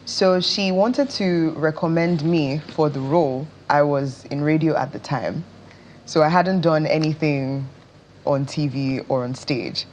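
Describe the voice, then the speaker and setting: A Nigerian woman speaks as an interview guest in a very low-pitched voice. She takes her time, answering in a measured yet expressive, conversational way. Some ambient noise sits behind her. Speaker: interview guest, stage and screen actress.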